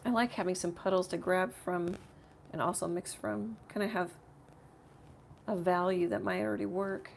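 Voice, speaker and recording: Lady doing a live paint along, kinda rough creaky voice, rambling to herself, faint studio noise behind. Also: lowish voice, fairly even intonation, normal speed. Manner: rambling, thinking aloud while working. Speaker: painter hosting a live art tutorial. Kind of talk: casual live painting demonstration chat. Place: home art studio.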